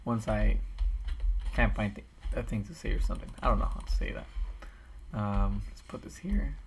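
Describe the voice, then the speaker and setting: Low-pitched man with a gravelly, tired voice who rambles while building a game map on a stream. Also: moderate pace, a little hiss or hum. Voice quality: raspy, tired voice. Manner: rambling, thinks aloud with restarts. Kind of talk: casual commentary while building. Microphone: desk microphone.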